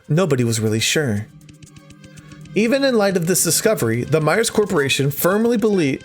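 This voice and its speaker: A man hosting a let's play, reading visual novel text aloud expressively with casual commentary. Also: fairly high pitch. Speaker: gaming video host.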